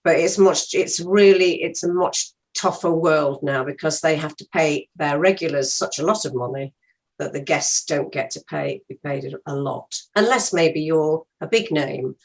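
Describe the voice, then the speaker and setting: Low-pitched older British woman chatting. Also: moderate pace. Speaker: guest actor. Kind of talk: casual interview chat.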